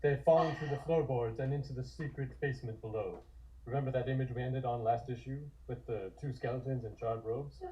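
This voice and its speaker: A man's voice: mid-range voice, fairly even intonation, brisk, warm tone, rough recording. A man reading scripted scene narration for a comic table read.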